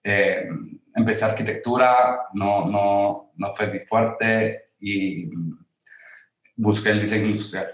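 Guatemalan male guest, halting storyteller, very reverberant room. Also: on the low side, fairly even intonation. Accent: Guatemalan Spanish. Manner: hesitant, many fillers. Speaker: guest designer. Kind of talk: conversational storytelling. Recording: very roomy echo.

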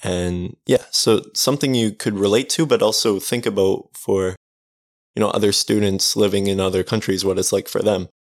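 A young Canadian man hosting a casual podcast, relaxed and rambling with restarts. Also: on the low side, normal speed, dry recording, studio quality. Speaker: podcast host.

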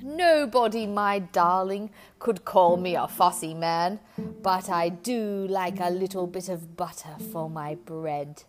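Woman playing a fussy, childish storybook king. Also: on the low side. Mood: delighted. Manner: drawn-out, plaintive, emphatic. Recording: music behind the voice.

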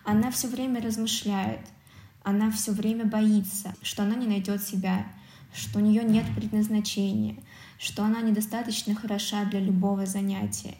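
A woman with a soft, dark-toned voice speaks as a podcast co-host. Her delivery is measured and articulate as she reflects on film, and the room echoes clearly around her. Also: mid-range voice, level tone. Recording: roomy, echoing sound.

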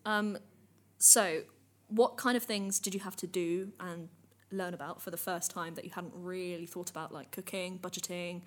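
A woman's voice: on the low side, fairly even intonation, moderate pace, few pauses. A young woman hosting a podcast, chatting casually and steering the talk with questions. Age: young adult. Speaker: podcast host. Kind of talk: casual chat, asking questions.

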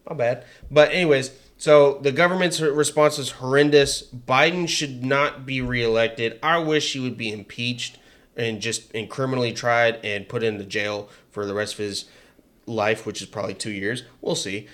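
A man co-hosting a casual political podcast, talking in energetic bursts with frequent restarts. He has a higher voice than his co-host. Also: normal speed. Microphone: headset mic with headphones.

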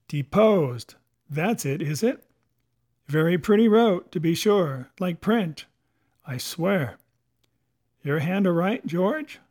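A man's voice: fairly high pitch, a little mellow. A man voicing a cunning, defiant pirate captain in a storybook, with sarcastic questions and rustic sailor's talk. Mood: mocking, sly. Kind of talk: dramatic audiobook character voice.

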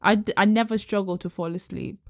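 A woman's voice: medium pitch, steady tone, fairly quick, gravelly, a little mellow. Nasal-voiced London woman co-host. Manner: joking, frank. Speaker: podcast co-host. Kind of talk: casual chat.

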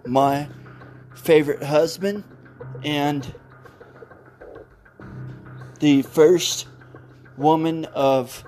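A man hosting an old-time radio podcast, calmly wrapping up the episode and announcing upcoming shows in a deliberate way. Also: fairly high pitch, faint music behind. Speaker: podcast host. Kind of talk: casual podcast outro.

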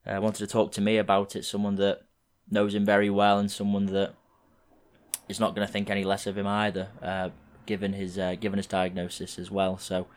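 A young man in his twenties from England, hosting a podcast and talking through a rambling solo intro in a slightly croaky voice. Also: lowish voice, fairly quick. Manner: relaxed, rambling, with frequent fillers. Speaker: podcast host.

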